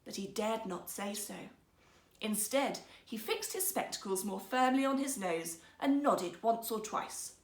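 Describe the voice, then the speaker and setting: A woman storyteller narrating a fairy tale in an expressive, theatrical, carefully phrased way. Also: a little high, unhurried, reverberant.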